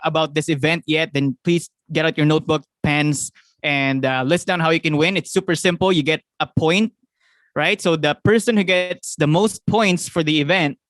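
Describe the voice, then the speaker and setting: A young man with a Filipino accent giving an excited, fast motivational talk to his sales team, often asking "right?" and laughing. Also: a little high, no echo. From Philippines. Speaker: network marketing team leader.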